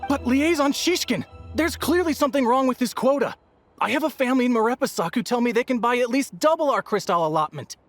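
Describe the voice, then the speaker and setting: An actress playing an indignant, desperate townsperson in a video game, confrontational and exasperated with those in charge. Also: female voice, on the high side, expressive, fast talker, faint music behind, close to an everyday voice. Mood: insistent. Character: indignant, desperate female townsperson protesting to officials.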